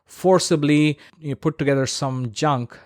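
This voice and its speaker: A man's voice: on the high side, unhurried, no room sound, studio quality. A man hosting a solo podcast, explaining practical advice in a conversational way and repeating points for emphasis. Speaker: podcast host.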